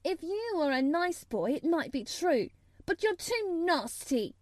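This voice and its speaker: A woman's voice: high voice, lively intonation, moderate pace, warm tone, no echo, clean studio sound, voice pushed up. A woman voicing a sour, obstinate young girl who retorts fiercely, as in a storybook reading. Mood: sour, contradicting. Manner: defiant, retorting. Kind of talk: audiobook character voice.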